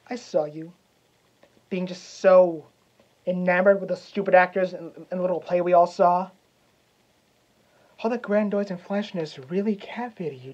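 A man playing a vengeful young prince as a cocky wrestler cutting a theatrical promo, swaggering and taunting with dramatic pauses. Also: high voice, a little mellow, muffled recording. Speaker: actor.

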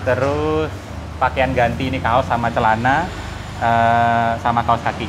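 A man in his thirties talks through his hiking gear in a patient, step-by-step way, pausing often on fillers. His voice sits at a middle pitch. Clear traffic noise from the street runs behind him on an outdoor porch. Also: flat delivery. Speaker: hiking community host showing gear. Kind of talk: casual show-and-tell explanation. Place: outdoor porch by a house, seated on the floor. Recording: noisy street ambience with passing cars.

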